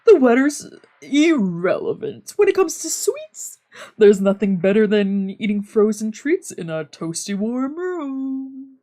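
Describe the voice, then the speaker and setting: A woman voicing a fussy, excitable, sweet-toothed young man in a visual novel, with expressive, scolding and gushing delivery. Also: a little high, slow pace. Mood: indignant. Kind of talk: live dubbing of a visual novel.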